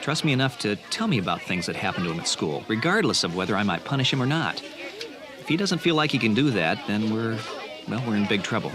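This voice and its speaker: A man's voice: fairly low pitch, very animated, fast talker, a crowd in the background, noisy background. A man playing a stern, concerned father who lectures firmly in a family radio drama. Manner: firm, emphatic, lecturing. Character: stern, concerned father defending trust with his son.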